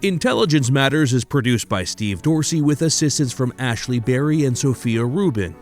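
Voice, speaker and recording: A man's voice: mid-range voice, animated, moderate pace. Man reading credits over music. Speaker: podcast host reading credits. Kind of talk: scripted closing credits. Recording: over loud music, set back from the mic.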